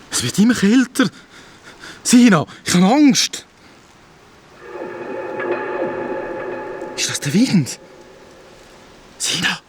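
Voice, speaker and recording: A woman's voice: mid-range voice, slight background noise. A woman voicing a loyal, easily frightened young boy in a children's radio play, with a Swiss German accent.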